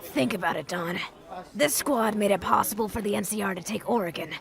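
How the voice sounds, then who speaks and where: Gravelly, sardonic wasteland woman, played by an actress. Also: mid-range voice, a little fast, natural voice. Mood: wary. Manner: dry, blunt, sardonic. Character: jaded, blunt female wasteland wanderer, wary and sardonic. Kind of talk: post-apocalyptic audio drama acting.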